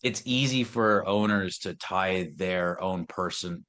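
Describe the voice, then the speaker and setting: Podcast host, man, low voice, affirming and conversational. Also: slow. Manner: affirming, with soft listening murmurs.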